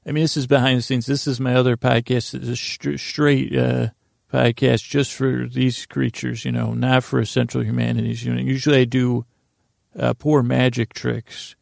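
Gravelly, monotone man rambling at bedtime. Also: medium pitch, no echo, clean studio sound. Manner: meandering, halting, goofy and self-deprecating. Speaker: podcast host. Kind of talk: rambling bedtime story monologue.

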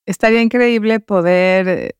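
A woman's voice: mid-range voice, moderate pace, clean tone, dry recording. A woman hosting a casual interview in an engaged, informal, affirming way. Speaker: podcast host, interviewer.

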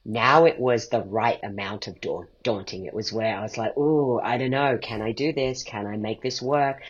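A man's voice: mid-range voice, moderate pace, breathy edge. A man speaking as an interview guest, reflecting thoughtfully and voicing questions to himself as he talks. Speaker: guest, playwright and podcast creator. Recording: dull, muffled lo-fi sound.

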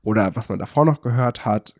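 A young man explains things casually on a podcast, haltingly searching for words and correcting himself. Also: mid-range voice, a little mellow, no room sound, studio quality. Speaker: podcast co-host.

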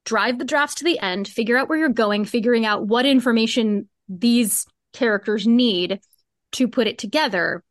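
A woman with a fairly high-pitched voice talks as an interview guest. She explains her process casually and thoroughly, in chatty phrasing. The sound is very dry and close, with no room on it. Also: normal speed, no room sound. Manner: explanatory, informal, peppered with "like" and "cool". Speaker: podcast guest, audio drama co-creator. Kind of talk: casual interview conversation about craft.

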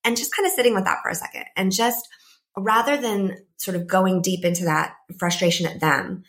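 A woman in her thirties, a climate psychologist and podcast guest, talking thoughtfully in a croaky voice with many soft fillers. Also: mid-range voice, brisk. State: croaky, a bit ill. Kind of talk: casual interview talk.

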